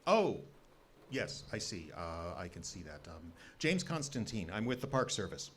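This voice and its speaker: A frantic, stammering hermit scientist, low-pitched. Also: male voice, very animated, normal speed, husky. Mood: flustered, evasive. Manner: stammering, flustered, pleading, repeats words. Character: frantic, eccentric hermit scientist guarding his secret research. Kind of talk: live audio drama acting. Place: live performance before an audience. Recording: noisy live stage recording.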